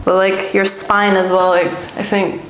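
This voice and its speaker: A woman's voice: mid-range voice, little pitch movement, measured pace, slight background noise. A young woman from Hungary, a tattoo artist, chatting casually with a client, laughing often and swearing. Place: tattoo studio, indoors. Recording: echoey room with music behind.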